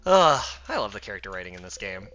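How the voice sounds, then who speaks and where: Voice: low-pitched, sing-song, fast, pushed and bright, muffled recording. A streamer with a light Southern US accent reading game text aloud and chatting between lines, laughing often.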